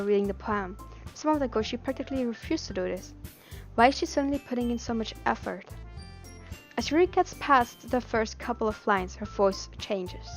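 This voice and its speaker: High-pitched Belgian Dutch woman streaming, music behind. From Belgium, Dutch-speaking. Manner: giggly, expressive, stumbles over words. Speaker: live game streamer. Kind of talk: live stream chat and reading game text aloud.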